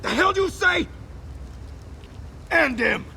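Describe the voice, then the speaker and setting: An aggressive street mugger in a video game. He sounds coarse and impatient, drawing out his threats at a slow pace in a high voice, with clear noise behind him. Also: expressive, heavily acted. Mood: irritated. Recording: loud background noise.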